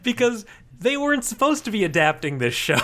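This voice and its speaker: A man's voice: sits high, very animated, normal speed, a bit bright. A man co-hosting a podcast, explaining things casually with a wry, playful manner. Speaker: podcast co-host.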